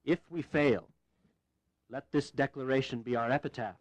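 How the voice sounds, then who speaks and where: An actor playing a resolute, fervent revolutionary statesman in an audio history drama, with firm, measured, declamatory delivery. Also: medium pitch, no room sound, lo-fi sound, close to an everyday voice. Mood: fervent, solemn.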